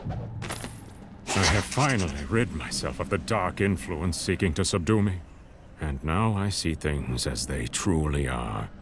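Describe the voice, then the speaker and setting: A man's voice: very deep voice, lively intonation, measured pace, background noise, exaggerated voice. A man playing a paranoid, tyrannical king in a video game, delivering a cold and imperious dramatic monologue. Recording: music behind voice.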